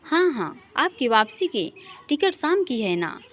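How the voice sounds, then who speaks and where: A woman's voice: sits high, sing-song, a little slow, heavily acted. A woman voicing a shy yet eager young bride-to-be who is polite and proper. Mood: excited.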